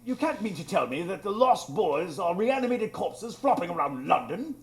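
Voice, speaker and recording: A man playing a flustered, incredulous older English gentleman doctor in a live radio drama, blustering and stammering. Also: sits high, big pitch swings, normal speed, echoey room. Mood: bewildered.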